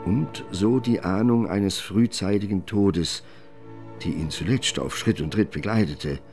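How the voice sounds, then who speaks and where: A man narrating a literary radio drama in a measured, formal manner. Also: deep voice, darkish tone, faint music behind.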